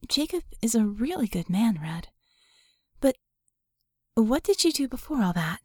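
A woman voicing an earnest, curious and skeptical female mage in an audiobook, speaking in a halting, candid, conversational way. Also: fairly high pitch, big pitch swings, no echo, studio quality, pitched above the performer's own voice. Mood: gentle, curious.

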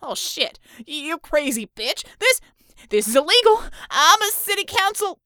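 A woman voicing a wounded, cowardly male official in an audiobook, gasping, shouting and pleading in pain. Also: extremely high pitch, big pitch swings, unhurried, no room sound, clean studio sound, voice pushed up, heavily acted. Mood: outraged panic. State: in pain, out of breath. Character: wounded, panicked, cowardly American city councilman, male.

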